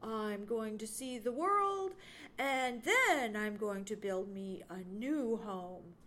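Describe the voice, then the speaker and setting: A woman's voice: fairly high pitch, lively intonation, slow pace, a bit bright. Woman as a proud, emphatic young woodchuck. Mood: proud, decided. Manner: emphatic, scornful, self-assured. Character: young male woodchuck, proud, carefree, stubborn wanderer. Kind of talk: children's animal storybook character voice.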